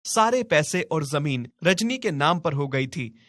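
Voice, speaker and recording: A man's voice: on the high side, lively intonation, a little slow, fairly bright tone, dry recording, studio quality. A man narrating a scripted moral story as a storyteller.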